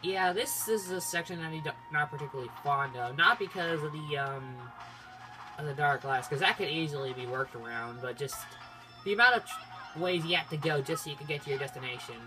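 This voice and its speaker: Casual let's-play host, mid-pitched androgynous voice, rambling and self-interrupting, game music underneath. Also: a little fast, low-quality recording. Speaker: gaming video host. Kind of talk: casual playthrough commentary. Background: video game music.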